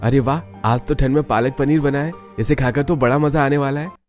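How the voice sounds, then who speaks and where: A performer playing the outspoken grown child of a bickering family in a cartoon, expressive and emphatic. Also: mid-range voice, normal speed, soft background music, close to an everyday voice. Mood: delighted. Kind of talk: Hindi family cartoon voice acting.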